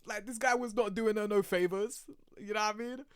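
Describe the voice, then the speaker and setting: A young man giving casual, excitable reaction commentary as a game streamer, chatty and full of slang. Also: sits high, fairly quick, clear, resonant tone, no room sound, studio quality.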